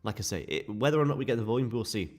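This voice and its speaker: A man with a sore, croaky throat, casually walking viewers through live trading charts. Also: medium pitch, animated, rapid-fire. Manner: casual, chatty explaining to viewers. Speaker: day trading streamer.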